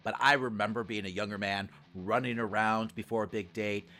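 A man's voice: fairly low pitch, steady tone, fairly quick, sharp tone. A middle-aged man co-hosting a casual podcast, chatting in a joking, loose way. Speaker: podcast co-host. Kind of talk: casual nerd culture podcast chat.

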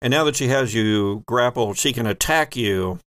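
A man's voice: medium pitch, normal speed, a bit bright, dry recording. A man running a tabletop role-playing game as game master, improvising descriptions and explaining rules in a conversational way.

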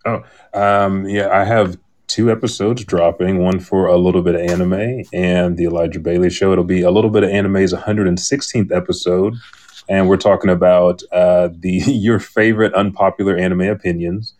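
A man's voice: low voice, little pitch movement, normal speed, a little mellow. A man who podcasts, talking casually as a guest on a live show.

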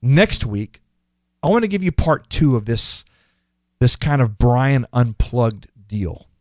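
A man's voice: mid-range voice, big pitch swings, slow, a little mellow, no room sound. Earnest, emphatic fifty-year-old man, dry studio sound. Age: 50s. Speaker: podcast host. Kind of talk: reflective motivational podcast monologue.

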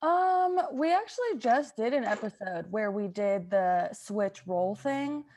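A woman's voice: mid-range voice, sing-song, measured pace, darkish tone. Casual woman hosting a podcast chat. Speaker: podcast host.

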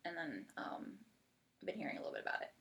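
A woman's voice: sits low, sing-song, quick pace, a bit bright. An American woman hosting a podcast, chatting casually and informally with plenty of "like". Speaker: podcast host.

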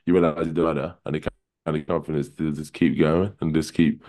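A man with a deep voice, a podcast guest talking in a relaxed, rambling way full of fillers. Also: brisk. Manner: relaxed, rambling, many "kinda" and "you know". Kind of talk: casual podcast chat.

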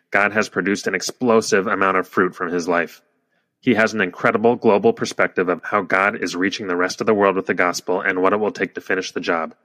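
A man's voice: sits low, bright, forceful tone. A young man hosting a podcast, reading the show intro in an upbeat, polished way. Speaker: podcast host.

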